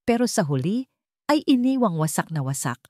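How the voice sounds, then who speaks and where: A woman's voice: mid-range voice, sing-song, normal speed, mellow, no room sound, clean studio sound. A woman storyteller reading a scripted dramatic story.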